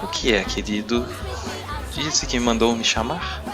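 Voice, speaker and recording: A man's voice: mid-range voice, unhurried, natural voice. Man doing a sultry, coaxing barmaid. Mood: seductive. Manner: sensual, coaxing. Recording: loud music bed behind.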